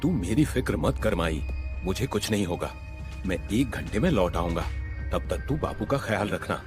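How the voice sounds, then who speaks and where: Young villager voiced by a man, low voice, reassuring, with music bed. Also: animated, moderate pace. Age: young adult. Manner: reassuring, firm. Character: young village son, brave and caring. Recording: clear music bed underneath.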